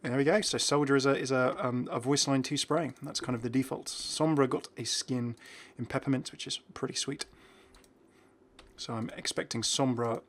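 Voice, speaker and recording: A man's voice: mid-range voice, fairly even intonation, normal speed. A man hosting a gaming video, giving casual commentary and musing aloud in a chatty way. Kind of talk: casual game update commentary.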